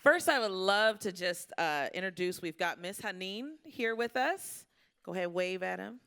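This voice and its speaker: A woman's voice: mid-range voice, expressive, normal speed, fairly bright tone, smooth. A woman hosting an informal live panel, talking in a folksy, colloquial, encouraging way. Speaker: panel moderator. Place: indoor luncheon event before an audience. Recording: live event room with crowd.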